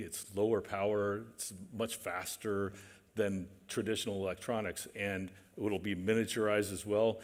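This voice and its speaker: A man speaking as a manufacturing executive on a conference panel, measured and hesitant with many fillers. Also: fairly low pitch. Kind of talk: panel discussion. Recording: reverberant conference hall.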